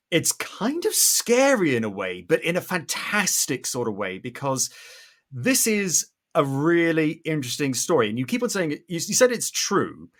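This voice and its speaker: A man hosting a podcast in an effusive, conversational way, often halting as he searches for the right words. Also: medium pitch, a bit bright. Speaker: podcast host. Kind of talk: enthusiastic conversational interviewing.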